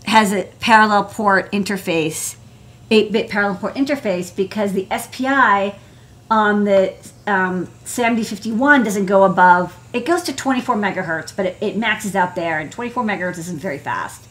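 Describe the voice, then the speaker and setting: A woman's voice: medium pitch, moderate pace, fairly bright tone. Woman, American accent, electronics engineer, casual livestream talk, informal, rambling, many asides. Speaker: electronics engineer presenting her work. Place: indoor desk workspace.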